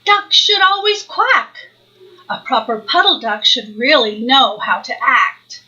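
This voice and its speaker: A bossy storybook farm bird, acted out by a woman. It squawks and lectures in a high, bright voice, full of proper concern. The recording sounds slightly dull. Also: expressive, slow pace, full character voice. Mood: bossy, alarmed.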